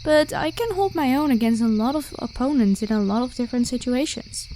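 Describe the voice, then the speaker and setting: A woman voicing a carefree, protective vampire warrior of high rank in a casual, reassuring roleplay style. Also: expressive, a little fast, mellow. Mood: relaxed, nostalgic. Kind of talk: ASMR roleplay acting. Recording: clear background hiss with night crickets. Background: crickets and insect ambience.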